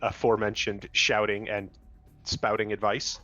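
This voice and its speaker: A man playing in a tabletop game, asking the game master questions slowly. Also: fairly low pitch, level tone, pushed and bright, dull audio, faint music behind. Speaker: player. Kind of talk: casual tabletop roleplay.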